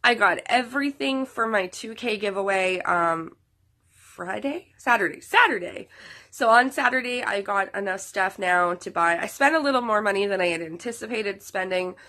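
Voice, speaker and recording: A woman's voice: medium pitch, expressive, moderate pace, bright, forceful tone. Sick, nasal woman vlogging. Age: 30s. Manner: rambling, with many fillers and restarts. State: sick, losing her voice. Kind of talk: casual chat to viewers.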